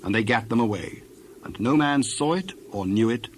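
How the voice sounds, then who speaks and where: Measured male scripture narrator, mid-pitched. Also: animated, a little hiss or hum. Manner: measured, formal reading.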